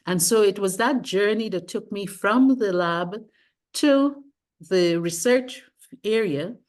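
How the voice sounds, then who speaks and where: A middle-aged woman from Guyana speaks in a fairly low voice as an interview guest. She is measured and careful, often restating a point mid-sentence to get it exactly right. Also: a little mellow. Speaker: guest, sustainability consultant. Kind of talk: interview, long career account.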